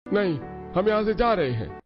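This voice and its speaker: A man's voice: high-pitched, very animated, normal speed. Man playing an easily offended, pious older guru. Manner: solemn, disapproving. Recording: dull lo-fi sound under clear music.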